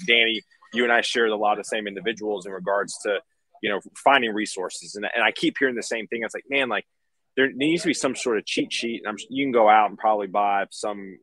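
A man's voice: on the low side, bright, forceful tone, no echo, rough recording. A man on a video call panel, chatty and enthusiastic while sharing interview tips. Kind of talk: casual panel chat.

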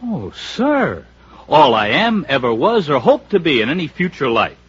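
An American actor playing a fawning, wisecracking comic flunky in a 1950s radio drama, raspy and mock-grandiose. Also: male voice, fairly high pitch, very animated, normal speed, slight background noise. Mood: mock-devoted. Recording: dull vintage radio recording.

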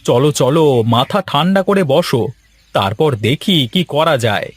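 A man's voice: fairly high pitch, animated, a little slow, dry recording. A man voicing an exasperated fisherman husband in a cartoon, grumbling and complaining. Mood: placating. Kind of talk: cartoon dialogue acting.

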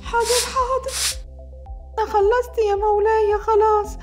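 A woman's voice: very high-pitched, fairly even intonation, normal speed, a little mellow, faint music behind, voice pushed up. A woman with an Egyptian accent voicing a whiny, overworked animal mother in a children's story, wailing and complaining. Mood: tearful, weary. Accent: Egyptian Arabic.

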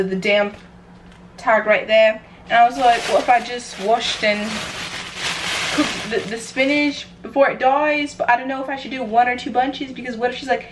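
A woman's voice: a little high, a bit bright, rough recording. A young American woman chatting casually to the camera in a playful, chaotic way as she vlogs in her home kitchen. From New York, United States. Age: young adult. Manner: playful, chatty, self-described chaotic energy. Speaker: vlogger. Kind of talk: casual cooking vlog chat to camera. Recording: clear background noise, room ambience.

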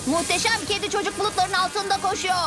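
A woman's voice: very high voice, fairly even intonation, quick pace. A woman voicing a brave, earnest young superhero boy in a children's cartoon dub. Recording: cartoon music behind the voice.

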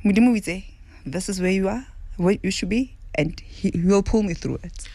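Animated woman in a dry studio. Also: medium pitch, a little fast, no room sound. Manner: animated, mixes Setswana into English, acts out others' words. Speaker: restaurant owner, guest. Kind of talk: casual radio interview. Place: radio studio. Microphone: studio boom mic.

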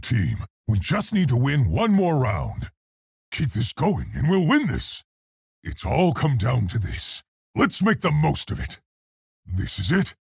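A man voicing a large, earnest, polite and brave gorilla scientist for a video game, gruff and articulate in his delivery. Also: medium pitch, no echo, clean studio sound, heavily acted. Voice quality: gruff, husky, gravelly. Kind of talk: video game character voice lines.

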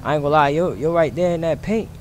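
An American man streaming a role-play game, bantering in street slang with loud, repetitive, taunting shouts. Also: a little high, fast. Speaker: streamer role-playing as a gang member in an online game.

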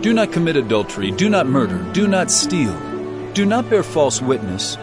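A man's voice: a little high, very animated, normal speed. An actor playing an earnest, solemn male teacher of parables in a dramatized audio Bible, speaking emphatically. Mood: firm. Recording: loud background music.

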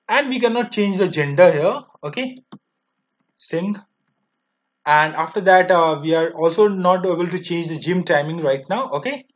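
A man in his twenties with an Indian accent, narrating a step-by-step software tutorial in a halting, repetitive way. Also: on the high side. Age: 20s. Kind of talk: step-by-step screen demo narration. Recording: dull lo-fi microphone sound.

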